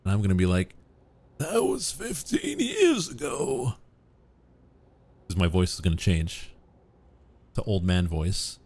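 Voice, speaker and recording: Slow-talking hoarse young man streamer. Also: low voice, big pitch swings, darkish tone. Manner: joking, self-mocking, musing aloud. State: slightly hoarse. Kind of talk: casual live-stream chat with viewers. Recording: faint game music behind.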